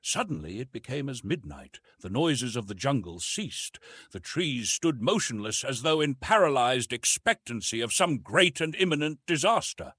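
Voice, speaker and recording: A man narrating an adventure novel as an audiobook, in a dramatic and expressive storytelling manner. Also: medium pitch, normal speed, breathy edge, no echo, studio quality.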